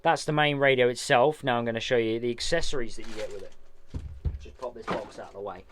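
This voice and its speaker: A man's voice: medium pitch, steady tone, fast talker, a bit bright, slight background noise. A man casually unboxing a radio, chatty and hands-on, narrating each step as he goes. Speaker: vlogger unboxing radio gear. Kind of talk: casual product unboxing walkthrough.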